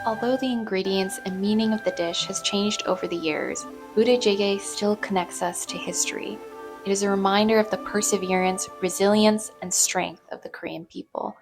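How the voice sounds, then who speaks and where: Young woman, mid pitch, measured scripted read, faint music behind. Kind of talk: scripted narration.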